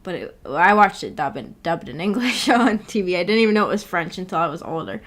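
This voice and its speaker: A woman's voice: fairly low pitch, lively intonation, brisk, fairly bright tone. A young adult woman chatting casually on a livestream, rambling informally and reading viewer comments aloud as she goes. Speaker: VTuber livestreamer.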